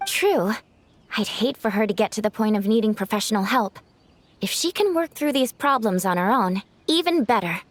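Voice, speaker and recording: A woman playing a clinic worker who explains things calmly in a game. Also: mid-range voice, normal speed, dry recording. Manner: calm and explanatory. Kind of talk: video game voice acting.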